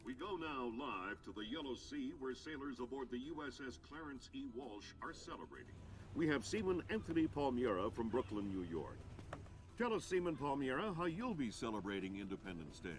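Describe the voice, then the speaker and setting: An actor playing a polished television news anchor with smooth broadcast delivery. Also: male voice, medium pitch, sing-song, fairly quick, lightly acted. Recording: game cutscene with music underneath.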